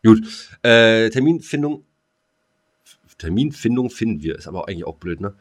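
Low-voiced casual male podcast co-host. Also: expressive, normal speed. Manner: colloquial, short clipped remarks. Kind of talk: casual chat.

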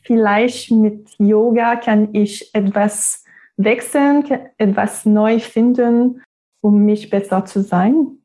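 A woman in her thirties from Quebec, a native French speaker with a Québécois French accent, talking as an interview guest about her yoga work, pausing and restarting as she searches for words. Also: medium pitch, fairly even intonation, dark tone, poor audio. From Quebec, Canada. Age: 30s. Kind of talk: interview conversation.

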